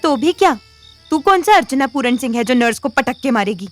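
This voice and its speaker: Sassy bossy young woman, high voice, mocking and bold, audio drama w/ soft music. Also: animated, brisk. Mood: scornful. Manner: bossy, sardonic, teasing. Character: bold, sarcastic, streetwise young woman leading an escape. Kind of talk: Hindi audio drama acting. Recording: music under the voice. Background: background music.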